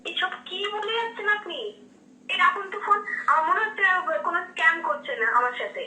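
A woman's voice: extremely high pitch, lively intonation, a little fast. A young woman with a West Bengal Bengali accent, protesting indignantly in a phone conversation. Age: young adult. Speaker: prank call recipient. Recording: echoey phone call, faint noise.

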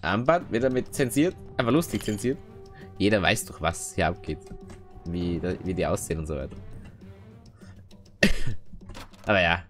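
A man's voice: deep voice, normal speed, pauses often, a bit bright. A man from Austria giving casual, slangy gameplay commentary, dropping in English exclamations. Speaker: let's player. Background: game music and clicking.

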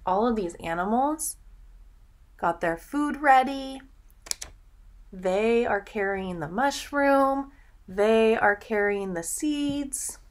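A young adult woman reading a children's picture book aloud in an expressive, teacherly way, pausing to ask simple questions. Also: a little high. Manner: animated storytelling, deliberate enunciation, audible gasps. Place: small furnished room at home, indoors.

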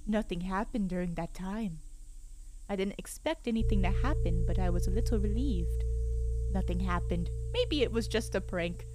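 A woman voicing an uneasy police detective, reading a horror game's story aloud in a measured way. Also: medium pitch, music in the background, voice pushed down, lightly acted, rough recording. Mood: grave, uneasy. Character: grave, uneasy police detective narrating a case. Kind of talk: reading horror game subtitles as narration.

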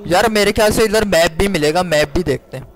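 A man's voice: high voice, a little fast, slight background noise, rough recording. A man commentates live on his gameplay. He talks to himself and to viewers in short exclamations, with faint music from the game behind him. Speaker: game streamer.

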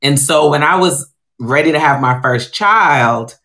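A woman's voice: low-pitched, lively intonation, fairly bright tone. A woman in her late forties, originally from Guyana, a chef telling her story as a guest on a video call from home. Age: 40s. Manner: candid, storytelling, drawn-out emphasis. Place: home room.

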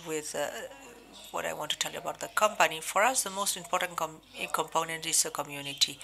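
A woman interpreting a conference keynote live, delivering it in measured phrases with short breaks. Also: on the low side, pushed and bright. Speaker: simultaneous interpreter.

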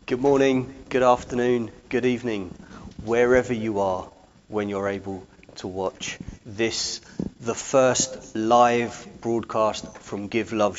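A man in his forties hosts a talk show from a studio desk, speaking slowly and with emphasis. He has a presenter's steady delivery, and his lapel mic gives the sound a slightly dull, muffled quality. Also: medium pitch. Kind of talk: broadcast interview hosting.

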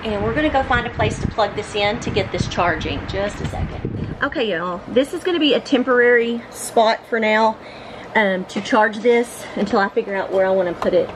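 Middle-aged woman, home vlogger, informal and halting, medium pitch, very noisy home recording. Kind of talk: casual unboxing and cleaning vlog narration. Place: living room of a home, indoors. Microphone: camera mic on a tripod nearby.

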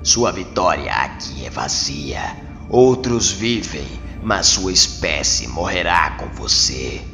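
A man's voice: low voice, big pitch swings, full character voice. A man voicing a cruel, arrogant alien overlord in a motion comic dub, pompous and menacing in his scorn for humanity. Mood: scornful. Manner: pompous, slow, menacing delivery. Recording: long cavernous reverb under loud music.

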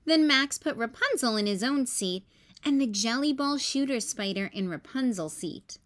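A woman hosting story time, reading a children's picture book in a careful, expressive way for young listeners. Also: fairly high pitch, normal speed. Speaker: storytime host.

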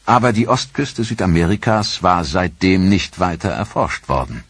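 A man narrating a history documentary in a measured, even storytelling delivery. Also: sits low, breathy edge.

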